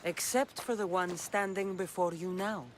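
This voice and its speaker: Man playing a bold mercenary captain, high-pitched. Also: fairly even intonation, normal speed, a little hiss or hum, close to an everyday voice. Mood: challenging. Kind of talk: video game cutscene acting.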